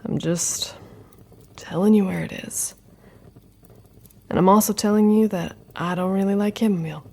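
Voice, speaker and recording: A woman's voice: medium pitch, steady tone, moderate pace, creaky, darkish tone, slightly rough. Wry, understated woman in a drama acted. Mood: wry, guarded. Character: dry, guarded but kindly woman, roadside host. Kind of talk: audio drama, understated dialogue. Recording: faint background noise.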